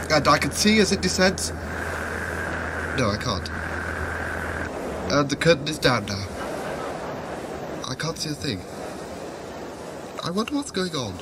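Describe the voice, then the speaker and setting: High-pitched, florid live commentator played by a man. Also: noisy background, natural voice. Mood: flustered. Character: earnest, florid male live concert commentator. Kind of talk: hushed-to-excited live broadcast commentary.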